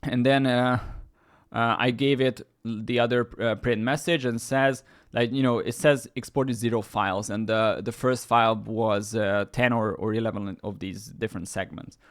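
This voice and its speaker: A man's voice: mid-range voice, fairly even intonation, moderate pace. A man whose first language is Hungarian, hosting a casual screen-share tech tutorial, talking loosely with many fillers and restarts. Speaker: YouTube tech host.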